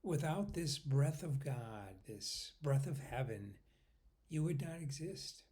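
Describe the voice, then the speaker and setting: Slow-paced man guiding a meditation. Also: fairly high pitch, expressive. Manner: unhurried, pausing between short phrases, often trailing off softly. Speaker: meditation guide.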